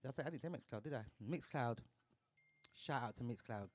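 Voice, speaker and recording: A man hosting a casual live talk show, upbeat and slangy, giving shout-outs to listeners and DJs. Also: mid-range voice, a little fast. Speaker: podcast host.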